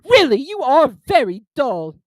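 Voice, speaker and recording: A reader voicing the Mock Turtle, a sorrowful old sea creature who talks slowly with heavy sighs. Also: high voice, big pitch swings, dry recording, studio quality, voice pushed up. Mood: mournful. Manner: slow, sighing, drawn-out delivery.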